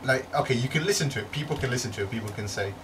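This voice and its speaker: Male co-host, easygoing and halting, mid pitch, distant mic with faint hiss. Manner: stammering restarts, laid-back opinions. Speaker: podcast co-host. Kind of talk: casual chat.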